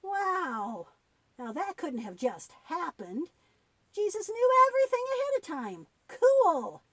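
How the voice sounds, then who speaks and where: Lady doing little boy puppet, high voice, super curious and wide-eyed, swoops around a lot, kids show. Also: sing-song, slow, a bit bright, no room sound, exaggerated voice. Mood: curious amazement. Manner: wide-eyed, questioning, exclamatory. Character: eager, curious, easily amazed young boy puppet. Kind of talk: puppet-show dialogue for children.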